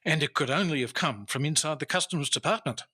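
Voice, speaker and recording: An actor playing a boastful, famous Victorian-era detective inspector in an old-time radio drama, formal and theatrical in manner. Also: male voice, fairly high pitch, a little fast, bright, forceful tone, slightly rough. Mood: self-assured, storytelling. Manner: theatrical, self-assured, formal.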